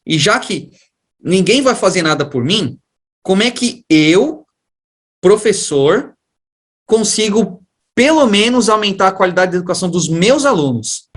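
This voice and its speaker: A man with a fairly high-pitched voice and a Brazilian accent from the São Paulo region. He talks as an interview guest, recounting things informally. His way of speaking is chatty and full of everyday slang. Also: husky. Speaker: guest, science teacher and education researcher. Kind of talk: casual conversational storytelling.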